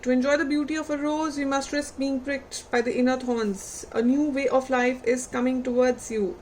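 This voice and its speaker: A woman reading tarot cards for her viewers, unhurried and reflective, putting gentle questions to them and losing her voice. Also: sits high, slight background noise, poor audio. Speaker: tarot reader.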